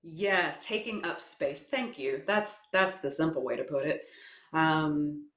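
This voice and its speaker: Low-voiced woman with hesitant pauses. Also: a bit bright. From South Carolina, US. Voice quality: naturally low voice. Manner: thoughtful, hesitant, many fillers and restarts. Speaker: guest, voice coach and actor. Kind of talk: reflective interview answers. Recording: echoey room.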